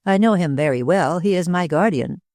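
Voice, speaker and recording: A reader voicing a composed young gentleman who speaks dryly and with satire. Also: medium pitch, animated, moderate pace, no room sound, natural voice. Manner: dry, satirical.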